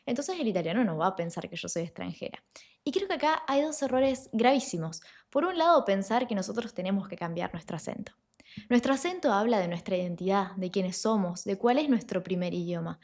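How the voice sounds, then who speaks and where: A woman giving an online lesson as an Italian teacher, explaining sounds clearly and slowing down to model them. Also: mid-range voice, a little mellow.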